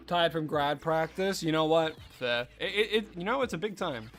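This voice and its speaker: A man's voice: on the high side, unhurried, fairly bright tone, soft background music. A young man streaming a game, sounding stuffy and nasal from allergies, chatting casually with viewers and musing aloud with fillers. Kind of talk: casual live-stream chat while gaming.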